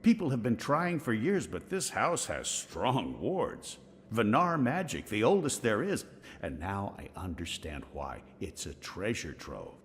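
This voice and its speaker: A man's voice: on the low side, huge pitch swings, unhurried. An actor playing a scholarly, curious, dry-witted older man in a video game, musing in a bookish way. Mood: astonished. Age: middle-aged. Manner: bookish, musing, exclaiming over finds. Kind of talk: video game dialogue acting.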